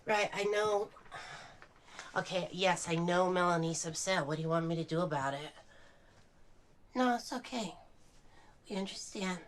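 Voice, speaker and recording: A woman's voice: fairly low pitch, normal speed, long pauses, slight background noise, close to an everyday voice. A woman playing a harried, emotional movie star in a naturalistic screen drama. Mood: apologetic, flustered. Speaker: lead character and narrator.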